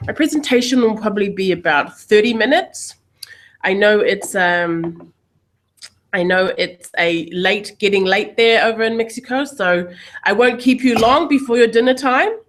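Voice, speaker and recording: A woman's voice: medium pitch, normal speed. A woman, a university recruitment coordinator giving a friendly webinar presentation over a video call. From New Zealand.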